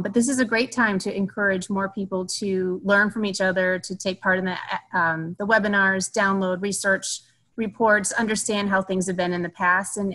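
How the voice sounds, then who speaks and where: Bright-voiced woman encouraging learning. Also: mid-range voice. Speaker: communications director. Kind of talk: webinar panel remarks.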